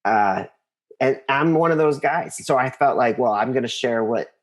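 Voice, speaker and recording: American male, mid-pitched. Casual interview guest telling personal stories, earnest, halting delivery. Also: lively intonation. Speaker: interview guest, composer and conductor.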